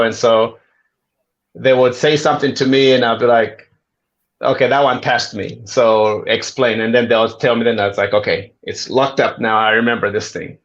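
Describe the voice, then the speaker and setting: Brief: friendly Zambian man in his forties, easygoing storyteller, mid-pitched voice, dull lo-fi sound. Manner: relaxed, friendly storytelling with asides. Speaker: guest. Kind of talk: casual interview chat.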